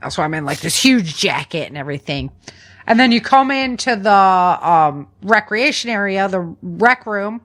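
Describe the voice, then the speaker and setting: A woman's voice: mid-range voice, fairly bright tone. A woman hosting a podcast, talking informally and instructively through survival tips from notes and often checking in with a "right?". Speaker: podcast host. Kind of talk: conversational survival advice from notes.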